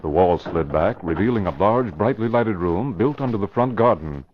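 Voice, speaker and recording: A man narrates a vintage radio thriller in a low register. His delivery is formal and dramatic, and the old recording sounds dull and muffled. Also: animated, normal speed, no room sound.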